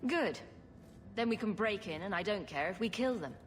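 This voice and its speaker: Blunt, dry young heroine, actress voicing, game cutscene with light music. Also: female voice, medium pitch, animated, moderate pace, lightly acted.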